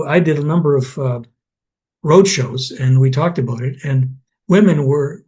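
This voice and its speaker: A man's voice: on the high side, darkish tone. Older man recalling his career. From Canada. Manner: rambling, anecdotal, many restarts and fillers. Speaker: interviewee. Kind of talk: oral history interview.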